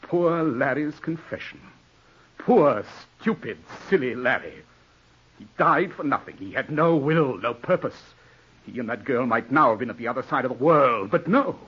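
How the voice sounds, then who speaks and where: A haughty ruthless gentleman, 1940s radio drama, voice husky and swinging all over, old muffled recording. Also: medium pitch, expressive, moderate pace. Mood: scornful, impassioned. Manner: imperious, theatrical, scornful. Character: proud, ruthless gentleman clinging to respectability and power.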